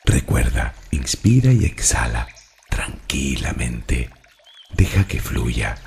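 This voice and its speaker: A man from narrating a guided meditation in a slow, calm, soothing and deliberate way. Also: rumbling low, vocal fry, a little hiss or hum.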